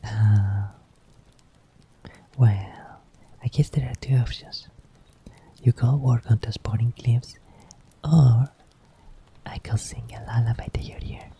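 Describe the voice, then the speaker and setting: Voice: mid-range voice, fairly even intonation, slow, long pauses, husky, exaggerated voice. A streamer whispering an ASMR roleplay as a clingy, sleepy partner who coaxes someone back to bed. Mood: teasing. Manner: soft teasing whisper.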